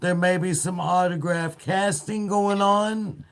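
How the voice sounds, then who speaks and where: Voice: mid-range voice, steady tone, slow pace, heavy background noise. A podcast co-host joking around and plugging the show in casual chat.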